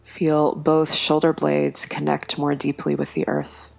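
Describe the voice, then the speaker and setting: A woman guiding a yoga nidra meditation in a slow, soft, soothing way, with long pauses between phrases. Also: deep voice, completely flat delivery, creaky, faint music behind. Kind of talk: guided meditation.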